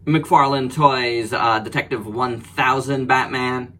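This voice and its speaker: A man hosting a casual, unscripted toy review, thinking aloud in short, halting phrases. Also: mid-range voice, steady tone, sharp tone. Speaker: toy reviewer and channel host. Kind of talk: casual, unscripted hands-on product review commentary.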